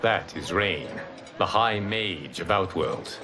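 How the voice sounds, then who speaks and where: An actor playing an ancient, wise and composed mentor god in a video game, speaking in measured, formal explanations. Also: male voice, sits low, background noise. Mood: calm. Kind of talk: video game cinematic acting. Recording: music behind the voice.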